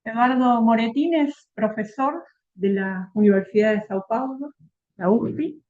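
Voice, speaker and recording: A woman's voice: fairly high pitch, unhurried, darkish tone. Argentine woman host, tinny recording. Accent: Rioplatense Spanish. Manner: reading a bio, with fillers and tag questions. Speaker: event host. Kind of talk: formal introduction of a speaker. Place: lecture hall.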